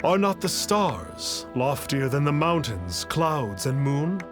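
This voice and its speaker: A man's voice: fairly high pitch, sing-song, music in the background. An actor playing a pompous masked man who poses as a lofty immortal and speaks of himself in formal, grandiose terms. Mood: boastful. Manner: grandiose, refers to himself as "one", slow and formal. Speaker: swindler posing as an immortal.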